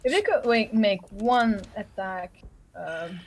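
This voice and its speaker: A woman's voice: medium pitch, slow pace. A woman co-casting an esports match in casual live commentary, with blunt, teasing banter.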